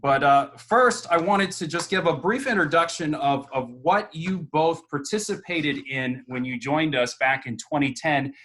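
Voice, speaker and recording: A man's voice: a little high, unhurried, few pauses, a bit bright. A man moderating an online panel, introducing guests and asking questions with occasional fillers. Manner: friendly, guiding questions with fillers. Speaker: host. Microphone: video call.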